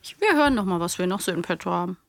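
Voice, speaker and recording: A woman's voice: on the low side, animated, very fast, no echo, clean studio sound. A woman hosting a casual audio magazine, chatting in a blunt, teasing way. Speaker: podcast host.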